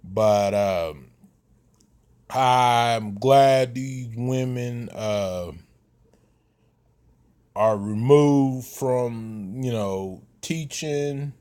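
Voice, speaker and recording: Slow, halting man in his thirties. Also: medium pitch, a little mellow. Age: 30s. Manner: slow, halting, many fillers and restarts. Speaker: vlogger giving commentary. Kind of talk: casual commentary.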